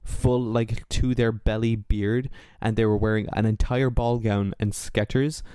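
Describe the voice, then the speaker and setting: A young man in his twenties rambles through casual podcast chatter, cracking jokes and swearing freely. His voice is mellow and dark-toned, recorded close and dry with no room sound. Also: on the low side, steady tone, unhurried, smooth, no room sound. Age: 20s. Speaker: podcast co-host. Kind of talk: casual chat.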